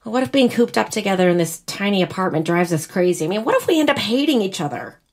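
An actress playing a wistful, teasing young bride in a naturalistic audio drama. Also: female voice, mid-range voice, animated, quick pace. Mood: anxious. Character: wistful, teasing young bride whose wedding was cancelled.

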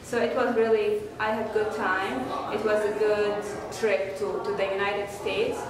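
A woman's voice: medium pitch, level tone, measured pace, a crowd in the background. Young syrian woman, friendly chatty, echoey gallery. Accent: Syrian Arabic. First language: Arabic. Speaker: artist. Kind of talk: interview. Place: gallery room, indoors. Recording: echoey room.

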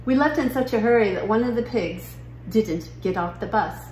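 A woman's voice: on the high side, normal speed, a little mellow, natural voice. Storybook schoolgirl, played by a woman: young, unbothered, matter-of-fact storyteller in a roomy recording with slight noise. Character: nonchalant young schoolgirl recounting a farm trip. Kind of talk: children's picture book read-aloud. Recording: roomy living room with noise.

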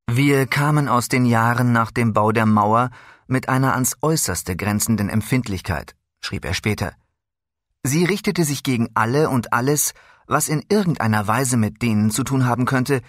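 A man's voice: medium pitch, normal speed, no room sound, studio quality. A man giving a scripted reading of a first-person recollection. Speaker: reader of a memoir.

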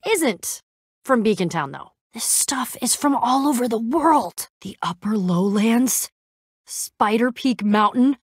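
A woman voicing a brash, excitable young female adventurer in a video game, slangy and a bit awkward as she tries to sound cool. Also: on the high side, slow pace, breathy edge, no room sound, clean studio sound, exaggerated voice. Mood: casual, earnest. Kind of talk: animated game dialogue acting.

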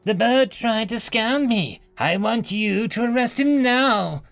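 A man voicing a shrill, entitled human woman who shouts her demands at an officer in an audio story. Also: expressive, slow pace, gravelly, faint music behind, full character voice. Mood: shrieking. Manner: shrieking, demanding, furious.

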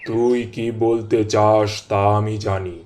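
An all-knowing cartoon holy man, played by a man, blunt and commanding. His voice is dark and mellow, with very level intonation. Faint noise sits behind him. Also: lowish voice, steady tone, takes it slowly. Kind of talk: cartoon voice acting.

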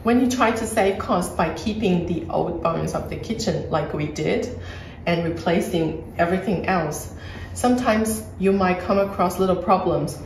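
A woman's voice: lowish voice, moderate pace, a little mellow, far from the mic, a little hiss or hum. A woman, walking through a renovated kitchen and explaining what was done, filmed on a phone camera. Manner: explanatory, pointing things out as she walks. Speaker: property flipper showing a renovation. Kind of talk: casual home tour walkthrough. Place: bright empty renovated kitchen, indoors. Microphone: phone camera held a few feet away. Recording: echoey room, voice a bit off-mic.

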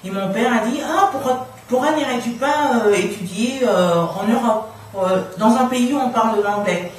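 A middle-aged woman from the Democratic Republic of the Congo telling personal stories casually as an interview guest, in an animated way. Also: on the high side, normal speed. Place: small radio studio room, indoors. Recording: very echoey room, distant, faint noise.

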